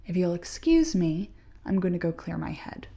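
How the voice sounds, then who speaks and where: A woman's voice: lowish voice, sing-song, moderate pace, gravelly, mellow, husky, full character voice. A woman in an audio play acting an earnest, sceptical young mycology student who speaks precisely and emphatically, sounding unsettled. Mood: cold, offended.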